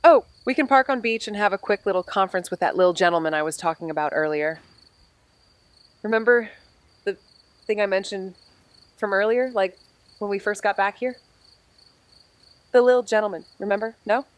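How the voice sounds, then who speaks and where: A woman's voice: mid-range voice, normal speed, pauses often, no echo, natural voice. An earnest young woman detective played by an actress in a podcast drama, with a mid-range voice. She talks in a casual, slangy way with a goofy, playful streak. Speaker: lead actor in an audio drama. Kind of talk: naturalistic podcast drama acting.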